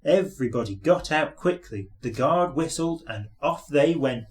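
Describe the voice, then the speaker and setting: A man's voice: on the high side, animated, moderate pace. A man reading a children's story aloud as scripted narration.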